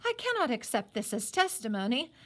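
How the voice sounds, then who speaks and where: A woman's voice: a little high, big pitch swings, a little fast. A woman voicing a stern but kindly older male judge from colonial times, formal and authoritative, in a storybook reading. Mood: stern, declaring.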